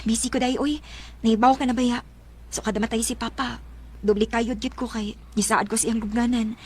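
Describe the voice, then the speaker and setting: A woman's voice: fairly high pitch, little pitch movement, normal speed, slightly rough. A woman playing a dutiful, tender, self-sacrificing young working woman in a radio drama, speaking earnestly and emotively with a Filipino accent.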